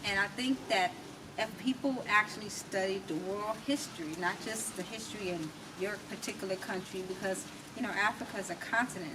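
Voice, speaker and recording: An American woman giving her opinions as an interview guest, emphatic and rhetorical, speaking in deliberate, repeated phrases. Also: mid-range voice. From United States. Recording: distant mic with clear background noise.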